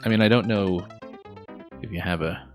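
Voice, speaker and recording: A man's voice: on the low side, moderate pace. A man streaming a level-making game, chatting casually with viewers in a thoughtful way, with many fillers. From not from Japan. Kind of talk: casual live-stream chat while playing. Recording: faint game music behind.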